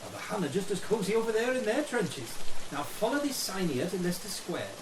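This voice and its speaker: A man's voice: sits high, sing-song, fairly quick. Man acting a chummy trench private, echoey. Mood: cheerful, instructive. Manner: chummy, reassuring. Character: friendly, down-to-earth veteran British private guiding recruits. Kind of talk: period war radio drama acting. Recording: echoey, distant, loud rain noise.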